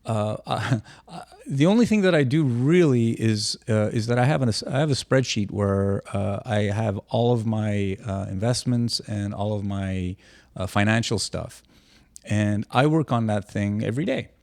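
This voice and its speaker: A man's voice: fairly low pitch, normal speed, darkish tone, no room sound. Easygoing retired man, rambling chat. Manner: rambling, many restarts, easygoing. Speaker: podcast co-host. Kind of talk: casual chat.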